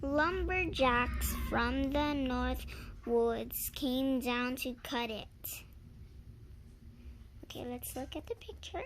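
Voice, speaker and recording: A young storyteller reading aloud haltingly, sounding out and repeating words, recorded on a handheld phone. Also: high voice, noisy background. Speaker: reader and channel host.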